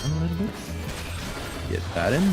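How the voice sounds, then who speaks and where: A man's voice: a little high, sing-song, moderate pace, vocal fry, over music. A man chatting casually and playfully with viewers as he plays and comments on a game on a live stream.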